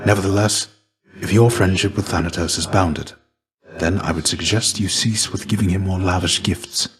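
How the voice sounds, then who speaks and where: A man voicing the shade of a legendary warrior in a video game, a gentle mentor who speaks in measured, formal, old-fashioned phrases. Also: low voice, close to an everyday voice. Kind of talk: scripted game dialogue.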